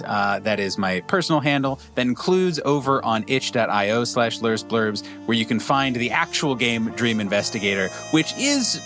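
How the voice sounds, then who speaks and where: A man's voice: mid-range voice, fairly bright tone. A man guesting on a podcast over a video call, talking casually and rambling with lots of filler words. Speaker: game designer and podcast guest. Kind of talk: casual chat.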